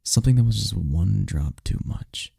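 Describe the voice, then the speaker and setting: Man acting a gravelly, low-voiced incubus lover. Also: animated, mellow, no room sound, clean studio sound, heavily acted. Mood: concerned, pleading. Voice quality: low, gravelly, husky, breathy. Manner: slow, intimate, often dropping into whispers. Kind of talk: intimate ASMR boyfriend roleplay. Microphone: close-up microphone, dry sound.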